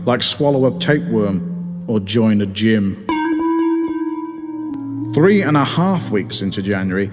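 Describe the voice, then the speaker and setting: A man's voice: fairly low pitch, lively intonation, unhurried, pauses often. A man reading his own comic story as narrator in a theatrical, mock-dramatic way. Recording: long reverb, music behind.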